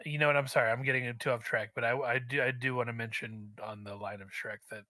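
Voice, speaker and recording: A man's voice: mid-range voice, steady tone, quick pace, pushed and bright, no echo. A man chatting casually as a podcast guest, feeling his way through his thoughts and wandering off on tangents. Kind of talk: casual chat about movies.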